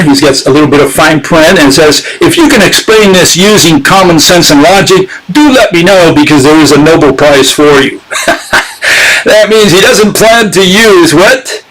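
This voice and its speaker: A man's voice: a little high, fairly bright tone, low-quality recording. A man hosting a casual live-streamed talk, sarcastic and chatting with his viewers as he goes. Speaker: channel host giving a critique.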